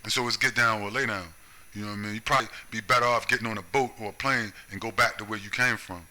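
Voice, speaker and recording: A man's voice: lowish voice, steady tone, fast, bright, forceful tone. An American man delivering a confrontational, profane solo monologue, punctuating his points with "right?" and "you see?". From United States. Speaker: video commentator.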